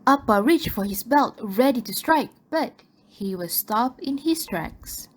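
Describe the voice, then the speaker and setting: A woman's voice: on the high side, expressive, measured pace. A woman reading scripted narration for a radio drama.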